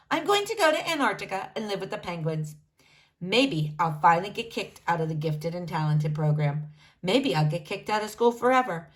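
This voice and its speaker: Female storybook reader for kids, higher-pitched, very lively intonation, speaks straight to young listeners. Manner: addresses young listeners directly, animated. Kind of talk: expressive children's read-aloud narration.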